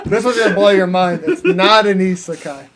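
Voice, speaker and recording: High-voiced guy, crude and casual. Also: normal speed, crowd noise. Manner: crude, slangy, heavy swearing. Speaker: podcast co-host. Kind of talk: casual profane chat pitching story ideas.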